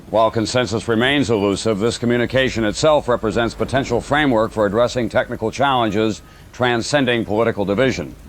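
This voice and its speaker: A man's voice: medium pitch, lightly acted. Man acting a composed male broadcast moderator. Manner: formal, measured, diplomatic. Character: composed, neutral radio moderator during civil war. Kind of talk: scripted audio drama broadcast moderation.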